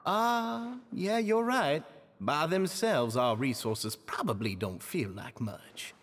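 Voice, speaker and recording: An actor playing an earnest, polite and eager society leader in a video game, courteous and formal. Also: male voice, a little high, expressive, takes it slowly, a bit bright, heavily acted. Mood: flustered, earnest. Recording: background music behind the voice.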